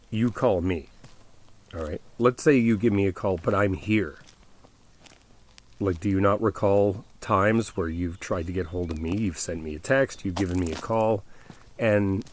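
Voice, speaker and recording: A man acting out a caring best friend in an ASMR audio roleplay, with a low-pitched male voice. He speaks casually and directly to the listener, coaxing and reassuring, gently insistent. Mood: earnest, insistent.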